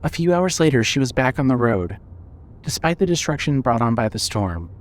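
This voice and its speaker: A man's voice: medium pitch, big pitch swings, moderate pace. A man narrating a scripted story as the narrator of an audio drama.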